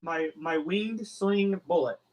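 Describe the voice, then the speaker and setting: A man playing a tabletop role-playing game, bantering casually in a halting, jokey way. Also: high-pitched.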